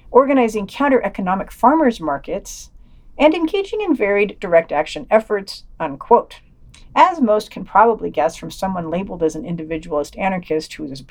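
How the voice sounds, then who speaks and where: Female podcast reviewer, medium pitch, dry and slightly sardonic delivery of written summaries and opinion. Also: a little mellow. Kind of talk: scripted review narration.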